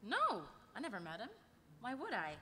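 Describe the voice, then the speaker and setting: A woman's voice: on the high side, wildly swooping pitch, normal speed, bright, forceful tone, full character voice. A woman playing a brash, bossy young roommate with a breezy, slangy, emphatic delivery at a live table read. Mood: breezy, insistent. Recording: echoey convention hall, noisy.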